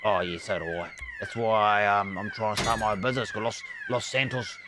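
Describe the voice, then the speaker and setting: A man's voice: fairly low pitch, steady tone, a little fast, noisy background, natural voice. A man with a New Zealand accent playing a chatty, laid-back male butcher held hostage, who swears a lot and rambles. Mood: easygoing. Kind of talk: roleplay in a video game.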